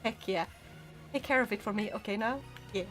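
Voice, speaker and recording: A woman's voice: medium pitch, a little fast, a bit bright, soft background music. A young woman with a light Swedish accent, casually chatting with viewers and giggling while she plays a game on stream. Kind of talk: casual live-stream chat while gaming.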